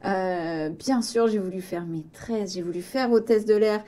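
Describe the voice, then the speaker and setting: A woman's voice: medium pitch, measured pace, warm tone. A woman from western France with a French accent from France, telling personal stories casually as an interview guest, in a hesitant, reflective manner. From Vendée, western France. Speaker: interview guest, arts organisation director.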